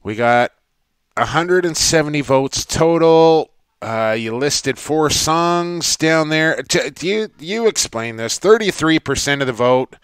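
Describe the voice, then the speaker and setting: A man's voice: on the high side, animated, unhurried, clean tone, no echo. A man co-hosting a podcast, chatting casually and telling long self-deprecating stories. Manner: rambling, self-deprecating storyteller. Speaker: podcast co-host.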